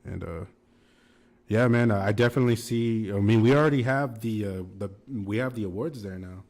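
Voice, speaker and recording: A young man with a deep voice, a guest rapper in a casual podcast chat, laid-back and slangy, saying "man" and "you know" often. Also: moderate pace, darkish tone.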